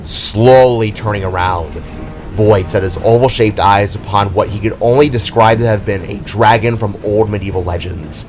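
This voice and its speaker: Man, lowish voice, dramatic story narrator, theatrical, scripted, music underneath. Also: normal speed, darkish tone, low-quality recording.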